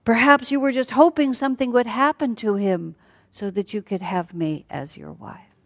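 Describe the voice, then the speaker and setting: A woman's voice: mid-range voice, unhurried, darkish tone, lightly acted. A woman voicing a young royal wife in a told story, insistent and emotional as she pleads. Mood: angry accusing. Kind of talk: storytelling acted dialogue. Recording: hall with long reverb.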